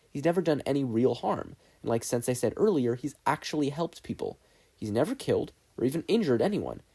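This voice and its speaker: A man's voice: medium pitch, warm tone, husky. A man voicing a passionate, determined teenage boy student, earnest and insistent, in a story reading. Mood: passionate, ranting.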